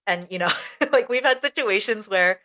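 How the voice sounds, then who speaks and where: A young woman co-hosting a casual teaching podcast, telling stories in an animated way and acting out bits of dialogue. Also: mid-range voice, normal speed. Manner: animated storytelling, acts out quoted dialogue. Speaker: podcast co-host, ESL educator. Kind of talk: casual chat.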